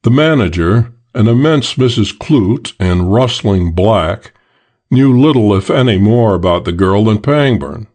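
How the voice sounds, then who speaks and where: A man's voice: lowish voice, darkish tone. A man reading a first-person detective story as an audiobook narrator.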